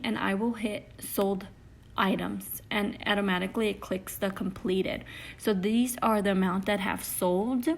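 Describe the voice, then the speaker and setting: Chatty young woman with a Spanish accent, gravelly. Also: mid-range voice. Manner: chatty, often adds "you know" and "okay?". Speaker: eBay reseller. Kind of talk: casual how-to vlog. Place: living room with a couch, indoors.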